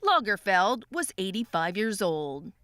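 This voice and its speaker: A woman's voice: mid-range voice, big pitch swings, unhurried, a bit bright, dry recording. A woman reporter narrating a scripted news feature.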